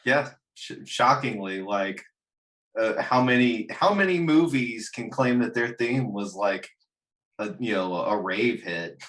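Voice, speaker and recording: A man's voice: fairly low pitch, animated, slow pace, a bit bright, poor audio. A man hosting a casual chat in a jokey, good-humored way. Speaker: host.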